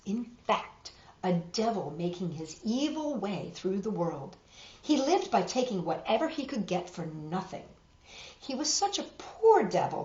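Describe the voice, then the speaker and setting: A woman reading a picture book aloud to children, expressive and dramatic, pausing for asides to show the pictures. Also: medium pitch. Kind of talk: animated children's story reading with asides to viewers. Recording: roomy, dull lo-fi web video.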